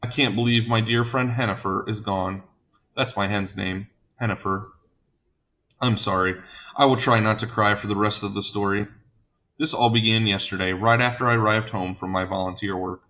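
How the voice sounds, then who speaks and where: A man playing a fussy, gentle giant giving a flustered, wordy statement to a police officer in a comic monologue. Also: on the low side, steady tone, normal speed, highs cut off.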